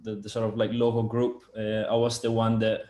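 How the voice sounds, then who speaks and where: A man's voice: lowish voice, monotone, fairly quick, darkish tone. A man talking casually on a video call, rambling with many fillers and restarts. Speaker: sound engineer and product developer, guest. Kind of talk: casual interview chat. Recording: roomy echo.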